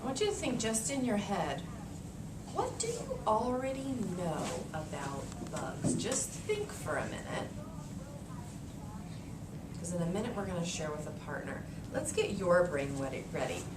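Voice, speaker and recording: Lively American woman teacher, distant roomy sound. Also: on the low side, measured pace. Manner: explanatory, thinking aloud, frequent check questions. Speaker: elementary school teacher. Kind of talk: interactive read-aloud lesson for young children. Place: elementary classroom, indoors. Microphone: camera a few meters away. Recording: roomy, distant mic with clear background noise.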